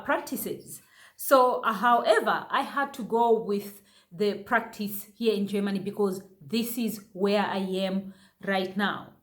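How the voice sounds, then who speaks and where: A young woman from Zambia speaks to her viewers in a slightly high voice and at an unhurried pace. She talks casually, full of fillers, restarts and asides to her audience, like someone sharing a personal story as a new mother. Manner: chatty, many fillers and restarts, addresses viewers as guys.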